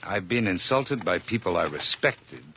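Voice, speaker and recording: An actor playing an obsessive hired man who calmly plots a murder in a radio drama, speaking in intense, measured tones that drop to whispers. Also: low voice, gravelly, slight background noise, heavily acted. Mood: eerily polite. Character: obsessive young hired man, calm but unhinged narrator. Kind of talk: 1980s radio drama acting. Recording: vintage radio broadcast with faint music.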